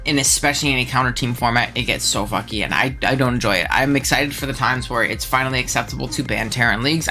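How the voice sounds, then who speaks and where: A young man with a head cold talks quickly and animatedly, riffing on viewer opinions. His voice is bright and forward, a bit congested, and full of ums and quick laughs. Faint music plays softly behind him. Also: medium pitch. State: stuffed up, congested. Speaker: channel host. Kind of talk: casual commentary reacting to viewer takes.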